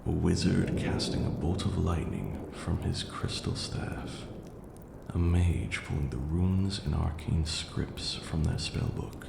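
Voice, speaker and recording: A man playing a pompous but patient vampire lord who lectures on magic in a deliberate ASMR roleplay style. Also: full character voice. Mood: patient lecturing. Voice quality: low, gravelly. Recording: roomy echo, audible background hiss.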